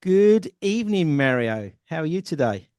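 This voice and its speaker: A man's voice: a little high, big pitch swings, moderate pace, a little mellow, smooth, dry recording, clean studio sound. A man hosting an interview podcast, introducing and questioning in a presenter's style. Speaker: podcast host and founder.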